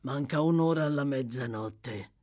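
A woman's voice: extremely low pitch, normal speed, mellow, faint music behind. A woman voicing a brutal, short-tempered male thief in an audiobook, with a brusque, bullying, sneering manner. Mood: gruff, sardonic.

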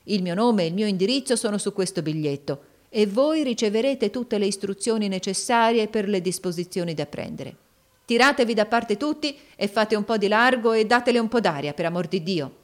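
Woman playing a defiant, impassioned young gentleman. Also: medium pitch, normal speed, close to an everyday voice. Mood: urgent commanding. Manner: emphatic, declamatory, commanding.

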